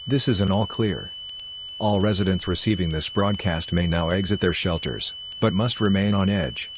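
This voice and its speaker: A man playing a solemn government emergency broadcast announcer, formal and measured in delivery. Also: sits low, natural voice. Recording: noisy with hum behind.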